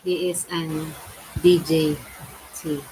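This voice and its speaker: A middle-aged woman with a Filipino accent, chatting playfully in halting English beside her husband on the couch at home. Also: low voice, little pitch movement, dark tone, a little hiss or hum, rough recording. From Philippines. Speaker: vlogger's wife. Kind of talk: casual chat with viewers. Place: living room at home.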